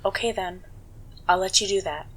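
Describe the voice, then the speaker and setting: Woman playing a husky, caring middle-aged mom. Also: mid-range voice, normal speed, a bit bright, natural voice. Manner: nurturing, reassuring. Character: caring middle-aged widowed mother, gently fussing. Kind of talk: dramatic comic dub acting.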